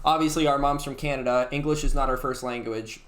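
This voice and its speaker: A man's voice: mid-range voice, fairly quick. A man in his thirties co-hosting a podcast, telling stories in casual chat, with lots of swearing and laughter. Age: 30s. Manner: animated storyteller, swears a lot, laughs often. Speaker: podcast co-host. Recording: roomy echo.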